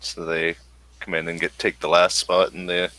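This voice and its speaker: Deep-voiced guy game mastering a tabletop game, thinks aloud, very dry close sound. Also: monotone, normal speed, bright, forceful tone, dry recording, rough recording.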